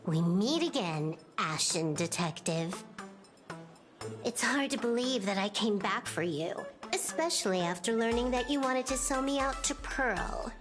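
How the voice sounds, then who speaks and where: Slow, teasing female outlaw. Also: on the high side, animated, over music. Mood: cool, sardonic. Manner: coolly teasing, composed. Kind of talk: video game voice acting.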